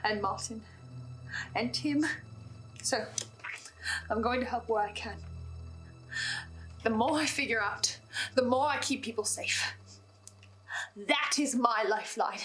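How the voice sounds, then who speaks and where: High-voiced woman in a theatrical monologue, over music. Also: slow, long pauses, a bit bright, low-quality recording. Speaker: host of a listener's video log. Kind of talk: dramatic scripted monologue, in-character video essay. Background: music behind the voice.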